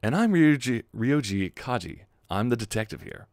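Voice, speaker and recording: A man's voice: medium pitch, sing-song, normal speed, a little mellow, dry recording, clean studio sound. A man voicing an easygoing, casual male detective.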